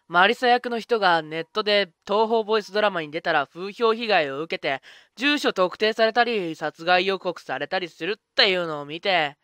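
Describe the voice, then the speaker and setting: A woman's voice: mid-range voice, a bit bright, no room sound, close to an everyday voice. A woman playing a careless, tomboyish young witch in an anime-style fan voice drama, talking in a casual boyish way. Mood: sheepish, frank. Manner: casual, boyish rough speech.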